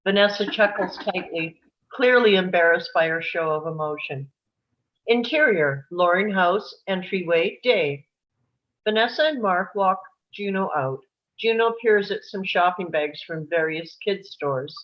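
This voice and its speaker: Lowish-voiced woman reading stage directions. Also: expressive, unhurried, highs cut off. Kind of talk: scripted narration.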